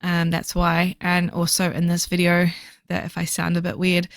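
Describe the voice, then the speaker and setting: A woman recording a casual voiceover for her reading journal video, chatty and fast-talking with lots of fillers, her voice slightly hoarse. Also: fairly low pitch, completely flat delivery, no echo, clean studio sound. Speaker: book vlogger.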